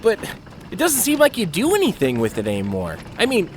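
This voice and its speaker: A man acting in an audio drama as an eager, naive young intern with excitable, slangy talk. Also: high voice, brisk, a little hiss or hum. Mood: awed, enthusiastic.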